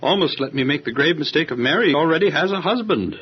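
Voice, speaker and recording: A man's voice: on the high side, animated, fast, slightly rough, lo-fi sound. An actor playing an imperious, easily flustered Egyptian king in an audio Bible drama, theatrical and sputtering. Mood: hurt, reproachful.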